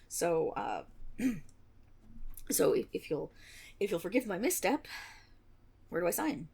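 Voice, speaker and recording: A breathy, slightly husky young tiefling rogue acted by a woman in a fantasy ASMR roleplay. Her delivery swings widely in pitch as she rambles nervously, with stammers and a teasing streak, often dropping into a creaky edge. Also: darkish tone, full character voice. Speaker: audio roleplay performer. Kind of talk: intimate one-sided fantasy audio roleplay, ASMR.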